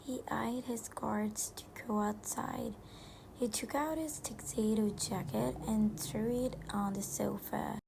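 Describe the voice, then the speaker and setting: Slow whispering woman with gravelly texture. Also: on the high side, fairly even intonation, noisy background. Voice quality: unvoiced whisper. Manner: slow, halting read-aloud whisper. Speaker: fan fiction reader. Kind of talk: whispered story reading.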